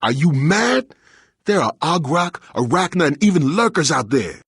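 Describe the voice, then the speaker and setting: An actor playing a secretive, cautious townsman in a fantasy game, husky, confiding in urgent colloquial speech. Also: a little high, very animated, moderate pace, a bit bright, dry recording, studio quality, full character voice. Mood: furtive, nervous. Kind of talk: fantasy game character acting.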